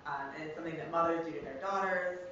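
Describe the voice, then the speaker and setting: A woman's voice: low-pitched, fast. A young woman with an American accent giving an informal student research presentation in a hesitant, rambling, casual way. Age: young adult. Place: reverberant indoor room, recorded from afar. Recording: very echoey room, distant muffled mic, noisy.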